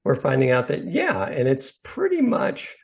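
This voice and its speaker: A man's voice: medium pitch, wildly swooping pitch, moderate pace, gravelly. Man explaining slides on a webinar. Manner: plain, thinking aloud with "um" and rephrasing. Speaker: company founder presenting.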